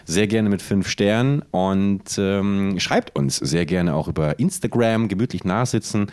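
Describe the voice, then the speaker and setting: A man's voice: deep voice, a little slow, darkish tone, clear, resonant tone. A man co-hosting a podcast in casual comedic chat, telling things in a rambling, playful and theatrical way. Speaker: podcast co-host.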